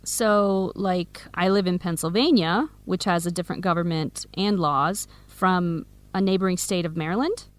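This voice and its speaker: An actress with an American accent playing a sassy, protective best friend from Philadelphia in a radio drama, with an emphatic delivery. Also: female voice, fairly low pitch, normal speed, hardly any pauses, lightly acted. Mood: friendly, curious. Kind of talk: scripted radio drama dialogue for English learners.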